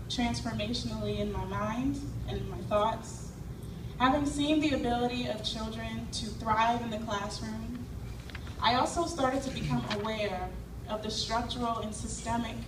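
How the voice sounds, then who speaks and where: A woman from Cincinnati giving an earnest, measured prepared speech as a community organizer. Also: fairly high pitch. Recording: echoey hall, far mic, noisy.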